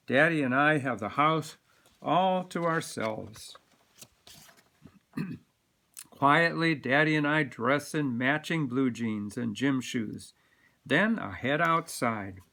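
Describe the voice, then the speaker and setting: A man reading a children's story aloud as a volunteer, in an expressive, deliberate manner for young listeners. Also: medium pitch.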